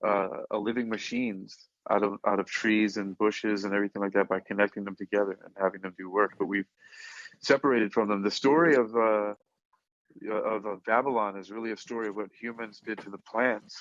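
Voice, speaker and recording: A man's voice: fairly low pitch, fairly even intonation, a little fast, a bit bright, no echo. A man co-hosting a podcast, chatting casually in a rambling, musing way. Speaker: podcast co-host. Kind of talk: casual chat. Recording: dull, muffled lo-fi audio.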